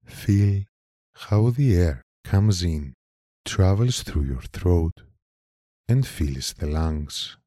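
A man leading a guided meditation in a calm, measured manner, leaving long pauses between short phrases. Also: low-pitched, dry recording, clean studio sound. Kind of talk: slow guided meditation narration.

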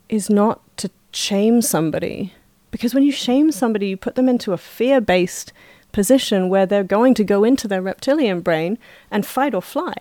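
A woman's voice: medium pitch, dark tone, no echo. A woman talking as a podcast guest in casual conversation, reflective and explaining her views at length. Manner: reflective, talks at length in long flowing explanations. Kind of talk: casual chat.

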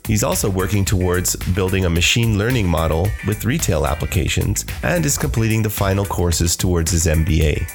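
A man reading a scripted podcast introduction in a measured, announcer-like way. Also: deep voice, animated, vocal fry. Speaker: podcast producer introducing the episode. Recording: voice over background music. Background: music.

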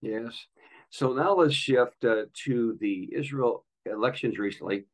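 Creaky-voiced man hosting an interview. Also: medium pitch, measured pace. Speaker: podcast host; pastor. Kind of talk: conversational interviewing.

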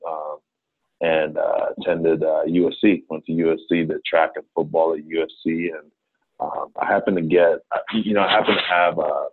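A deep, husky man in his thirties, from Ghana, talks through a business-consulting interview in a loose, rambling way, restarting sentences and leaning on fillers. His voice comes through a thin, phone-like line that strips the fullness from it. The talk stays casual and conversational.

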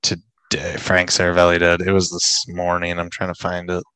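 A man's voice: low voice, monotone, normal speed, a crowd in the background. A man co-hosting a casual sports chat while losing his voice, reading posts aloud and laughing often. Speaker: podcast co-host.

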